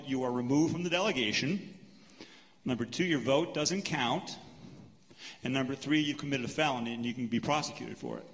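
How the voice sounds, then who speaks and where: A man moderating a lecture Q&A, paraphrasing a question and answering it in a measured, explanatory way, counting off his points. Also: medium pitch, a bit bright. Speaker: panel moderator. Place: lecture hall. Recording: long hall reverb.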